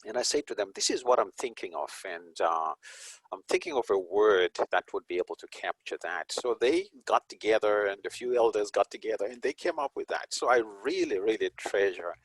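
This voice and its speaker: A man from Zimbabwe, a police chaplain and guest, talking in an unhurried, reflective way with frequent chuckles. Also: lowish voice, animated, husky, no room sound, low-quality recording. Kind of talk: conversational interview.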